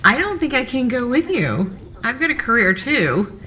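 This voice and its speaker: A woman's voice: a little high, very animated, normal speed, sharp tone, slight background noise. Middle-aged brainy wife, acted by a woman, faint ambience. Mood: earnest, proud. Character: brainy working wife and worried mother, proud, teasing. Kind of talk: audio drama acting.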